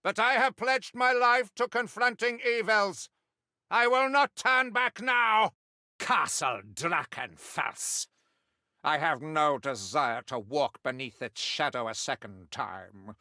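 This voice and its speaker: A zealous witch hunter from a video game, haughty and grim. His voice sits fairly high and cuts sharply. He sermonizes slowly and theatrically, with deliberate, righteous conviction. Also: expressive, sharp tone, dry recording, clean studio sound, exaggerated voice. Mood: fervent, zealous, then grim, foreboding. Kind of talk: scripted dramatic video game mission briefing.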